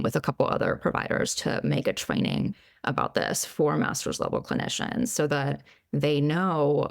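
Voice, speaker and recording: Chatty woman psychologist with gravelly fry. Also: low-pitched, dry recording, clean studio sound. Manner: chatty, frequent "like" and "you know" fillers. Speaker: psychologist, podcast guest. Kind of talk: casual interview conversation.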